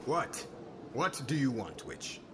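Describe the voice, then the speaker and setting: A man playing a stern, bitter witch hunter in an ASMR roleplay, cold and commanding, often half-whispering in a breathy voice. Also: medium pitch, big pitch swings, takes it slowly, noisy background. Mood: angry, contemptuous.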